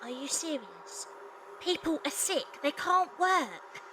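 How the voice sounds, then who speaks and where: Woman acting bold young match girl, high voice. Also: big pitch swings, slow, pushed and bright, slight background noise, full character voice. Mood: indignant. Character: defiant young Victorian match girl, former circus performer. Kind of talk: fan audio drama acting and first-person narration. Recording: music behind the voice.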